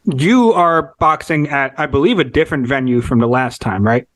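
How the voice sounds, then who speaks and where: A man's voice: mid-range voice, normal speed, a bit bright, no echo. A man hosting a podcast, asking long, curious, rambling questions in a casual interview. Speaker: podcast host.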